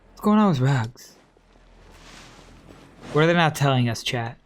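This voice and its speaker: A woman's voice: rumbling low, lively intonation, unhurried. A woman game streamer giving casual live reactions and commentary.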